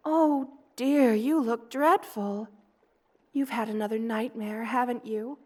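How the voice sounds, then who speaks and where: A doting monster mother, the queen of spider folk, played by a woman, speaks in a high voice at a very slow, unhurried pace. She is tender and cooing, soothing the listener in the intimate style of an ASMR roleplay. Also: a little mellow. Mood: soothing, concerned. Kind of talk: ASMR roleplay, personal attention.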